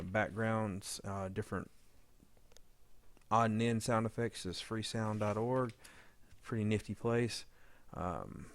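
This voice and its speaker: A man with a low voice and plenty of gravelly fry walks through an editing demo. He talks casually and off the cuff. His delivery meanders as he thinks out loud. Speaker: audio drama editor giving a demo. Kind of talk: casual unscripted screen-recorded tutorial.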